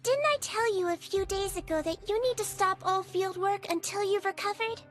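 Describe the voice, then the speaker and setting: A tiny nonhuman head nurse in a video game, with a voice pitched extremely high. She speaks earnestly and protectively, calm and firm at once. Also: soft background music. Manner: earnest, protective, quick to reassure.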